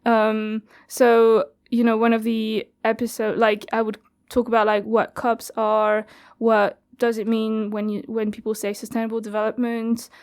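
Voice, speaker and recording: A woman's voice: a little high, monotone, normal speed, few pauses, darkish tone, clean tone. A French woman in her twenties, chatting casually as an interview guest, with frequent laughs and fillers. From France. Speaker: guest, youth radio studio coordinator.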